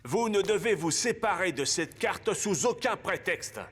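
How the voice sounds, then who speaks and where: A man's voice: high voice, animated, unhurried, a bit bright, slight background noise. A man voicing a stern, commanding football coach in a French cartoon.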